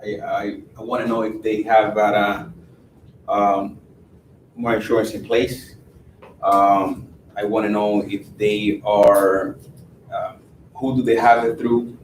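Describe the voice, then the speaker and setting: Hesitant Spanish-accented male agent, lowish voice, roomy and slightly noisy. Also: level tone, slow pace, recorded from a distance. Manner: hesitant, full of fillers. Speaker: sales agent. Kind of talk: answering questions in a meeting.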